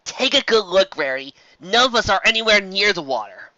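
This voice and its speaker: A man's voice: high-pitched, animated, fairly quick, pushed and bright, dry recording, voice pushed up, heavily acted. A man voicing a brash, sarcastic, impatient female pegasus in a storybook reading, in dull audio.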